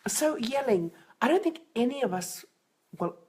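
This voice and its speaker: A middle-aged woman, a parenting coach, talking live to viewers on a stream, hoarse and croaky from a cold, chatty and reflective. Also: a little high, expressive, moderate pace, a little mellow, slight background noise.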